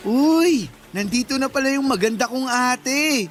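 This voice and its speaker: A cheeky younger brother voiced by a man in a radio drama, with a very high-pitched voice. He is a playful student who cajoles and wheedles his sister. Light music runs behind him. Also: lively intonation, a little slow, a bit bright, full character voice. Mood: playful, flattering.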